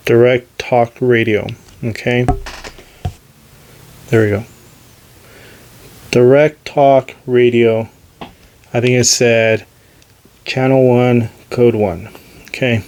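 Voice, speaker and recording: A man's voice: medium pitch, steady tone, slow, long pauses, background noise. A man giving a casual, hands-on gadget demonstration as host, talking in a hesitant, unscripted, self-deprecating way. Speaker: host demonstrating radio gear.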